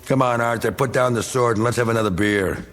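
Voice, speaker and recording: An actor playing a bitter, bigoted, self-pitying middle-aged American war veteran in a radio drama, with blustering, needling, slangy tough talk. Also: male voice, medium pitch, fairly quick, fairly bright tone, faint music behind, lightly acted. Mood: pleading, self-pitying. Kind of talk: dramatic radio play acting.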